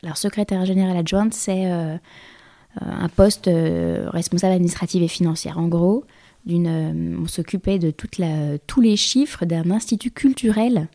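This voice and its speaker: A woman's voice: on the low side, normal speed, dark tone. A woman in her thirties, a guest in an interview, telling her story in a hesitant way with many fillers and restarts. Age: 30s. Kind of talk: conversational interview.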